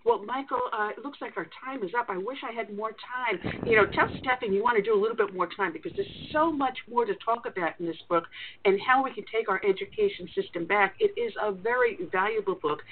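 A woman's voice: fairly high pitch, animated, fast talker. A woman hosts a conservative talk radio show, chatting emphatically and with conviction. Her voice comes through a thin, phone-like line. A faint hiss sits under it. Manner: talkative, emphatic, forthright.

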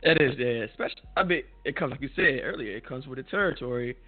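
A man's voice: medium pitch, lively intonation, quick pace, faint music behind. A man co-hosting a casual podcast, bantering in a laid-back way while losing his voice. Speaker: podcast co-host. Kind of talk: casual chat. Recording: tinny phone-like sound.

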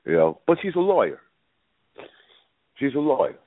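A man's voice: medium pitch, huge pitch swings, moderate pace, dry recording. A man hosting a call-in radio show, rambling casually with lots of fillers, fighting a cold. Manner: rambling, thinks aloud with many fillers. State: battling a cold. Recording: tinny phone line, dry.

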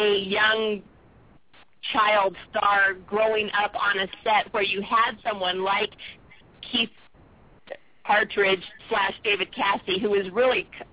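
A chatty woman in her fifties hosts a relaxed radio interview and laughs easily. Her voice is breathy and a little husky. The sound is dry and tinny, like a phone line. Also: medium pitch, no echo. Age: 50s. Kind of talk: casual interview chat.

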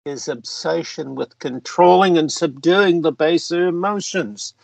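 A man acts out a self-satisfied gentleman of Parliament for a radio drama. His voice sits high for a man and he takes his time over old-fashioned, pompous phrases. The sound is close and dry. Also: measured pace, gravelly, dry recording. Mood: grave, reflective. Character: genteel, self-satisfied male member of Parliament, old university friend. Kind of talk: radio play acting.

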